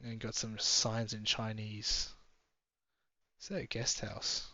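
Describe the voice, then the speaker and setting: A man's voice: on the low side, little pitch movement, slow, vocal fry, sharp tone, dry recording. A man giving a casual, chatty running commentary from inside a moving car, musing aloud and drifting off topic. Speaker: travel vlogger. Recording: slightly dull, dry sound.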